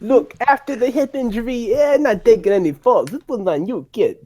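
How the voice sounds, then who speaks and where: A man's voice: very high-pitched, normal speed, darkish tone. A man voicing a wary, folksy male cook in a comedy script read-through. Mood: wary, casual.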